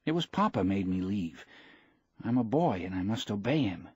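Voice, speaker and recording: A man's voice: lowish voice, very animated, moderate pace, darkish tone, husky, dry recording, dull audio, heavily acted. A man voicing a loyal, affectionate boy in an audiobook, eager and respectful in manner. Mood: earnest, eager. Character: loyal, earnest, affectionate boy fishing apprentice.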